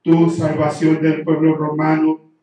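A middle-aged man, a priest, slowly reciting the prayers of the Mass at the altar of a small church. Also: a little high, completely flat delivery, dark tone. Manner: slow, measured recitation. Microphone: handheld mic at times, heard from some distance. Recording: very echoey church, far from mic.

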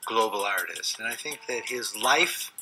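A man's voice: lowish voice, expressive, creaky, poor audio. Older male art expert explaining. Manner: measured, explanatory, gestures while speaking. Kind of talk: documentary interview. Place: cluttered indoor studio. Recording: loud music under the interview.

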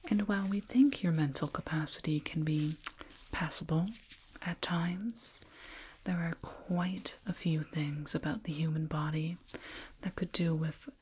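A woman whispering slowly and soothingly in an ASMR roleplay as a condescending alien dentist, close to a binaural microphone. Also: deep voice, fairly even intonation, darkish tone, a little hiss or hum. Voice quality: breathy whisper. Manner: slow, soothing, patronizing, deliberate.

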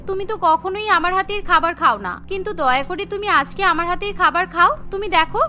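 A woman plays a gentle young daughter-in-law in a cartoon family drama. She pleads meekly in a very high, bright voice, with music underneath. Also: expressive, fairly quick, full character voice. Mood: eager, pleading.

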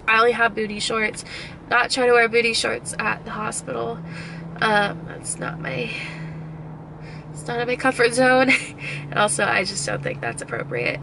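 A young woman chatting to her camera while sick with a cold, her voice slightly nasal, bright and edged with vocal fry. She rambles along with fillers, filming close up in a parked car and later in a closet. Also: fairly high pitch, slight background noise, low-quality recording. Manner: chatty, rambling with ums and likes. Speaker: vlogger. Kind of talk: casual chat to camera. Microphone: handheld camera close up.

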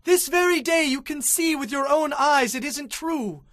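Devout young warrior, fervent and pleading, very high voice, dry audio-drama sound. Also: male voice, normal speed, a bit bright, no echo. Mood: shouting, pleading. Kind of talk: dramatized audio Bible acting.

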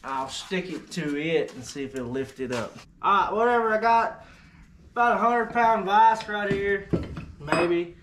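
A man's voice: high-pitched, lively intonation, measured pace, a bit bright, slight background noise. A man casually filming an unboxing and test in his workshop, talking in a rambling, offhand way with lots of fillers. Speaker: video host. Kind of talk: casual unboxing and testing vlog. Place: workshop, by a truck.

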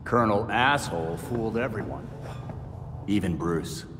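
An actor playing a gruff masked vigilante man, blunt and dryly sarcastic, in a game cutscene. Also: on the low side, moderate pace, noisy background, natural voice. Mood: grim. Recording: reverb with music score.

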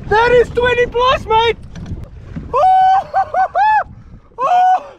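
An excitable young man calls out his fishing from a jet ski, his high voice swooping up and down in whoops and shouts. He talks slowly between bursts. Wind rushes across the camera mic on the open water. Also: lo-fi sound. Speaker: fishing vlogger. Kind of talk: excited live commentary while fishing. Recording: windy outdoor camera audio.

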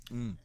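A man in his twenties giving excitable, casual reaction commentary. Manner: excitable, exclaiming. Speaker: reaction video host. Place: cluttered bedroom indoors. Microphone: desk condenser microphone with headphones.

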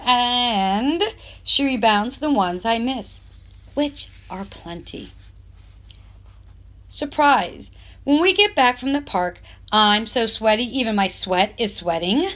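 A woman reading a children's novel aloud in expressive narration, with measured phrasing and emphatic stresses. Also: a little high. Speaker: teacher reading a book aloud.

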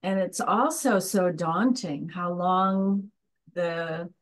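A woman's voice: lowish voice, takes it slowly. An older woman reflecting aloud in a discussion on a video call, searching for her words. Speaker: discussion participant. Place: indoor room at home, on a video call. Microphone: video call, computer microphone.

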